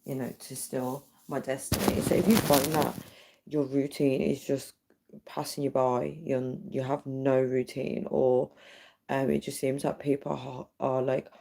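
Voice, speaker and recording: A woman talks in a low, hushed, gravelly voice that is almost gone from hoarseness. Her tone is dark and soft. She chats earnestly and a little wearily with her live viewers, speaking quietly so she won't wake anyone. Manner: earnest, drifting, tired. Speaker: livestreamer. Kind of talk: casual live chat reading viewer comments. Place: her home at night with others asleep. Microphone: built-in device mic, no dedicated microphone.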